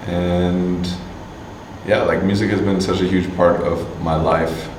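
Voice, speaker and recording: A man from Canada answering interview questions casually, halting with many fillers and restarts. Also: sits low, little pitch movement. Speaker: musician and agency owner. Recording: distant mic, echoey room, faint hum.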